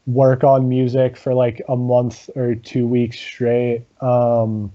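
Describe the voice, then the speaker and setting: A man, a guest in a casual interview, talking about his comedy rock band with a low voice, lots of ums and laughs. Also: completely flat delivery, dark tone, lo-fi sound. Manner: halting, many ums, laughs often.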